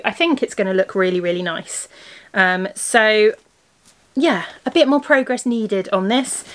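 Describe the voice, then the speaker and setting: A woman's voice: mid-range voice, a bit bright. A woman who is losing her voice hosts a crafting podcast and chats casually while showing her knitting projects to the camera. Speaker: crafting podcast host and yarn shop owner. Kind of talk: casual video podcast chat.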